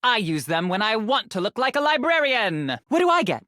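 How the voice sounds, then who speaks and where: A woman's voice: fairly high pitch, sing-song, normal speed, sharp tone, no room sound, clean studio sound. A woman voicing a vain, snarky young female influencer character in a video game, self-absorbed and full of social media speak. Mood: vain, showy. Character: vain sassy young female influencer lamp, fame-obsessed.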